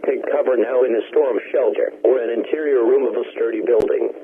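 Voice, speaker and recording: A man reading a formal emergency weather bulletin in a measured, impersonal, automated-sounding way. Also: medium pitch, fairly even intonation, a little hiss or hum. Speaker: weather warning announcer. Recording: tinny narrowband weather radio.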